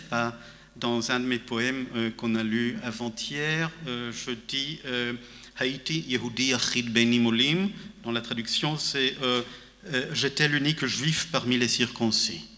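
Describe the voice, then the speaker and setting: A man's voice: mid-range voice, bright, forceful tone. A man, a panel guest speaking thoughtfully at a literary festival, with many fillers and restarts. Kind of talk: panel discussion. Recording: reverberant hall.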